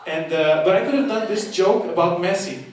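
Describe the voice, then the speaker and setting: Young stand-up comic, chatty and self-mocking, fairly high voice, echoey hall, miked from far off. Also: male voice, brisk, slight background noise. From half Portuguese, half Argentinian. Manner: chatty, playful, self-mocking, talks to the audience. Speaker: stand-up comedian and course leader. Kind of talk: stand-up comedy set. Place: live comedy show in a hall. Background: audience laughter.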